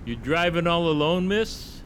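Suspicious patrol officer, official manner, fairly high male voice, old radio play, noisy sound. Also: expressive, unhurried, a bit bright. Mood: businesslike. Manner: curt, probing, official. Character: brisk, suspicious male state trooper at a roadside stop. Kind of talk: old-time radio drama acting.

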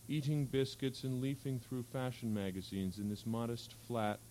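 Male literary reader, medium pitch, measured and articulate narration of fiction, live recording with slight background hum. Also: flat delivery, dark tone, poor audio. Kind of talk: reading literary fiction aloud. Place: live public reading at an event. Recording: faint hum and background noise.

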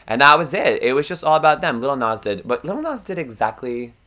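A man's voice: medium pitch, sing-song, a little fast, pushed and bright. A young man with a froggy voice, co-hosting a podcast in rambling, self-deprecating casual chat and laughing often. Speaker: podcast co-host.

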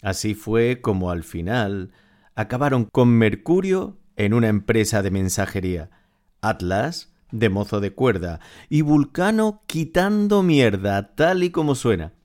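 Male actor as wistful smitten storyteller, lowish pitch, devoted, literary audio fiction narration. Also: expressive, a little slow, a little mellow. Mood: wry.

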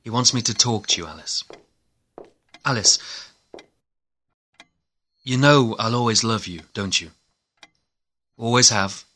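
A man's voice: lowish voice, slow pace, long pauses, pushed and bright, breathy edge, exaggerated voice. An actor playing a weary, distressed but loving husband and father in an audio play. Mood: tender, sorrowful. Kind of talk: dramatic audio play acting.

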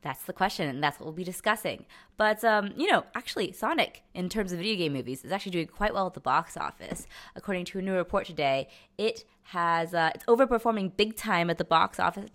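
A young woman hosting a podcast in a casual, conversational way, pausing and stretching words as she thinks. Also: lowish voice, lively intonation, a bit bright. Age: young adult. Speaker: podcast host.

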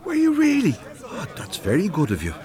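An older Irish man in a radio play, blunt and sarcastic. His voice sits a little high for a man and swings very widely in pitch. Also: huge pitch swings, fairly quick, warm tone, a faint crowd. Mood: chatty. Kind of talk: radio drama dialogue.

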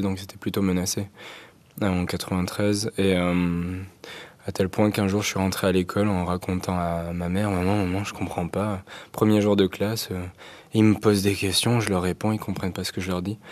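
Guest: young man in his twenties, Arabic-native, flat low voice, halting with lots of fillers. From Algeria. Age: 20s. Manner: hesitant, many fillers and restarts. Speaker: guest artist. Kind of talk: radio interview.

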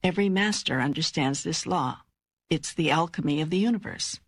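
An older woman voicing God as a calm, wise, authoritative teacher in an audiobook, with measured, emphatic phrasing and a husky voice. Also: sits low, no room sound, clean studio sound. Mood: calm, instructive. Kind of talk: audiobook dialogue reading.

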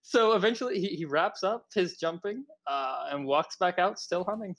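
A young man telling a story to friends on a podcast, rambling and animated, with lots of "like" and "um". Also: sits high, normal speed, background noise. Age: young adult. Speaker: podcast co-host. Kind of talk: casual storytelling among friends.

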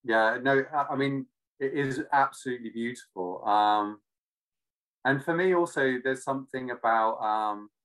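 A man from Cardiff with a British but not Welsh accent, talking casually and reflectively, often pausing to search for words. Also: medium pitch, a bit bright, rough recording. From Cardiff, Wales. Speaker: interview guest.

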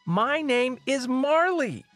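A man's voice: extremely high pitch, big pitch swings, slow pace, a bit bright, no echo, soft background music, voice pushed up, exaggerated voice. A man voicing a defiant, emotional young runaway princess in an indignant, exclamatory storybook narration style. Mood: angry, hurt.

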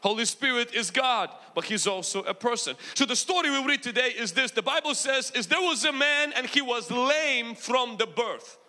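A man's voice: extremely high pitch, lively intonation, normal speed, few pauses, sharp tone. A man with a mixed Ukrainian and American accent preaching a Pentecostal sermon in a large church and calling the congregation to answer him. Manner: preaching with rising intensity, drawing call-and-response from the congregation. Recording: long hall reverb in a church.

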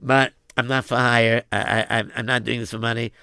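A man's voice: medium pitch, level tone, fairly quick, creaky, bright, forceful tone, smooth, no room sound, studio quality, pitched below the performer's own voice. A man voicing a humble young religious student who chants in a sing-song and answers with hesitant stammers. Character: humble young male yeshiva student, devout singer.

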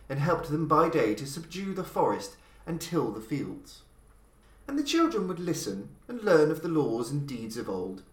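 A man reads an old tale aloud with measured, dramatic pacing. His pitch sits a little high and rises and falls widely from phrase to phrase. The room around him gives the voice a clear echo. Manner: measured, dramatic delivery with lively pitch swings. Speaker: podcast host and reader. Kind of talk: scripted storytelling narration.

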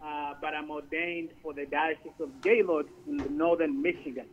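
A man's voice: a little high, steady tone, moderate pace, slight background noise. A man from Zambia, a priest and Air Force chaplain, speaking as a guest in a radio interview and often repeating words as he searches for them. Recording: tinny phone line.

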